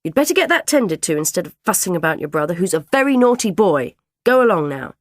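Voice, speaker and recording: A woman's voice: sing-song, fast, no room sound, studio quality. A woman reading an audiobook, voicing a stern, self-righteous dinner lady who scolds children. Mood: scolding. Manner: shrill, bossy, self-righteous.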